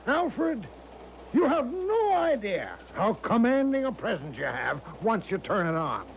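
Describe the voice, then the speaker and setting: A man's voice: high voice, wildly swooping pitch, a little slow, gravelly, heavily acted. An actor playing a breezy, eccentric older American con man in a 1970s radio drama, folksy, cajoling and grandly confident. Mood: flattering, jubilant. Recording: dull lo-fi 1970s radio, faint noise.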